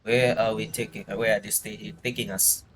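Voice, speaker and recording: A man's voice: on the low side, level tone, moderate pace, noisy background. A man live streaming a game with casual commentary, muttering reactions to himself as he plays.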